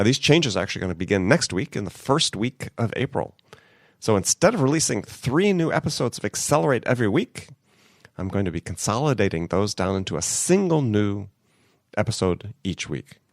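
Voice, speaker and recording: Man, podcast host, has a cold, lowish voice, very lively pitch, dry close sound. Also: vocal fry, dry recording. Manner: upbeat, conversational. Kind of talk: casual podcast talk.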